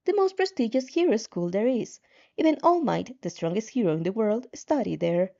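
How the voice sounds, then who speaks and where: Woman as controlling system guide, reassuring, menacing undertone, mellow timbre, fan fiction dub, slightly lo-fi. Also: a little high, animated, moderate pace, creaky. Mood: patient, persuasive. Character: calm controlling game-like system guide, coaxing yet menacing. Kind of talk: fan fiction character reading.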